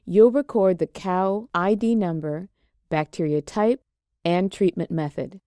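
A woman reads a scripted lab walkthrough in a steady, measured way, one step at a time. Her voice is fairly low and mellow. The recording is dry and close, with no room sound. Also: dry recording. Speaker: extension educator. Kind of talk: scripted instructional narration.

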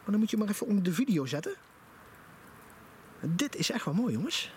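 A man's voice: high-pitched, big pitch swings, a little fast, darkish tone. A man giving enthusiastic, casual vlog commentary outdoors, recorded on a handheld camera. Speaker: vlogger. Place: outdoors in a forest at night by a campfire. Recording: noisy outdoor camera audio.

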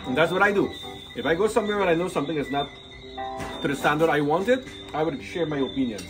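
A man speaks quickly and emphatically, stating his opinions. His voice sits a little high. Music plays clearly behind him. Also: expressive, poor audio. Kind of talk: casual chat.

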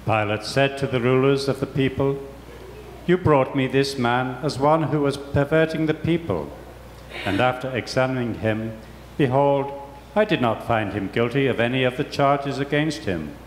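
An older man giving a deliberate, declamatory dramatic reading of scripture in a large church. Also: medium pitch. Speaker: reader in a church Passion reading. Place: large church, before a congregation. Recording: very echoey church, faint noise.

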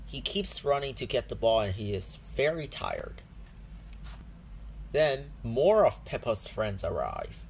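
A woman narrating a children's storybook aloud in a deliberate, simple storytelling manner. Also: extremely low pitch, huge pitch swings, a little hiss or hum, rough recording.

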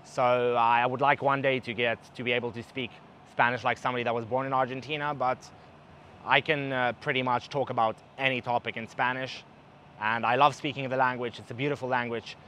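A man's voice: mid-range voice, a little fast, slight background noise. Young man with a mixed accent, talking outdoors. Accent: mixed English, partly South African. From Israel. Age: young adult. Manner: animated, gestures with his hands. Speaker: travel vlogger. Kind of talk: casual talk to camera. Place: outdoor balcony at night above a city.

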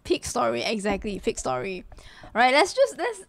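A young woman in her twenties streaming from a small room into a desk mic, chatting casually with viewers in a slightly hoarse voice. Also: on the high side, very animated, normal speed, no echo. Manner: chatty, greets viewers, many fillers. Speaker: livestreamer. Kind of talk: casual gaming stream chat. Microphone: desk mic in front of her. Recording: music playing behind the voice.

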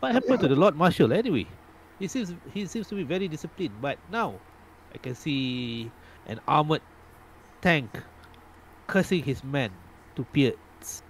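Laughing, lively-voiced game master, man. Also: fairly high pitch, moderate pace, slight background noise, rough recording. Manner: laughs often while narrating. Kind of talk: narrating a tabletop game online.

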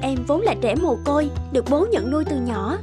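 A high, soft-spoken young woman, grieving and tearful, the heroine of an animated drama. Her lines sit over loud background music. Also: brisk. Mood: sad. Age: young adult. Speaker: voice actor.

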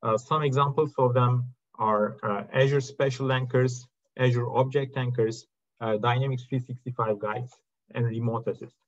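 A man giving a prepared technical slide presentation in a halting way, with frequent uhs between short phrases. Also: mid-range voice, fairly even intonation. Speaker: presenter from a technology company's research and product team. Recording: dull, lo-fi video-call audio.

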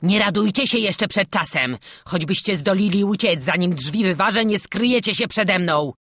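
A woman's voice: fairly low pitch, expressive, a little slow, fairly bright tone, no room sound, studio quality, pitched above the performer's own voice. A woman voicing a menacing aging bandit man. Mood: furious. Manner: gruff barking threats and mocking coaxing. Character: menacing old male bandit, bullying and wheedling. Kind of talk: audiobook storytelling.

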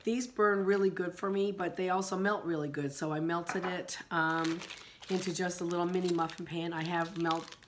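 A middle-aged woman, hoarse from a sinus infection, chatting casually about candles in her living room, rambling with many ums and restarts. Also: lowish voice, steady tone, moderate pace, background noise. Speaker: vlogger. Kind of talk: casual chat reviewing candles.

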